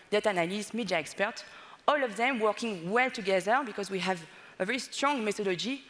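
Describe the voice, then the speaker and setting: A woman's voice: medium pitch, fairly bright tone, in a large hall. A woman in her thirties from France with a French accent, giving a conference talk on stage with many small fillers. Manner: fluent but slightly non-native phrasing, many "uh" fillers. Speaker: conference speaker. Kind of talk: conference presentation. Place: large conference hall on stage.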